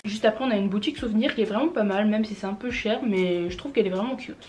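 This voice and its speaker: A young woman talks through a trip in a relaxed vlog, her voice sitting in an ordinary middle pitch. She stumbles often, fills gaps and corrects herself. Her tone stays casual and a little crude. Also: fast talker, a little hiss or hum. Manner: chatty, hesitant, many fillers and self-corrections. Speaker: vlogger. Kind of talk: casual travel recap vlog.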